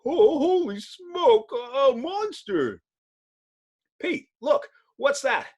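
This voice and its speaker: A man voicing an excitable, frightened city policeman in a comic-book reading. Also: very high voice, takes it slowly, pitched above the performer's own voice, exaggerated voice. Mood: alarmed.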